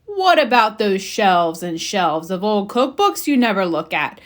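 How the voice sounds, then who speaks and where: A woman's voice: mid-range voice, big pitch swings, measured pace. Indignant storybook papa bear, voiced by a woman. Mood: accusing. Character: easily annoyed father bear in a storybook.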